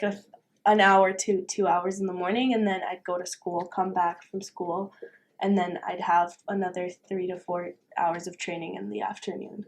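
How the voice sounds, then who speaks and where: A young woman from Romania, a university tennis player, talking casually as a podcast guest with frequent fillers and light laughs. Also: on the low side. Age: young adult. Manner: chatty, laughs often, many fillers. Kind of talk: casual interview.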